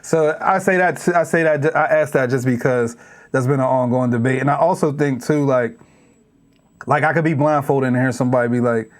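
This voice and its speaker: A man's voice: medium pitch, moderate pace. A man from Pittsburgh with a Pittsburgh accent, chatting as a guest rapper on a podcast and mimicking how other cities talk. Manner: animated storyteller who mimics other cities' speech. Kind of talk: casual podcast conversation.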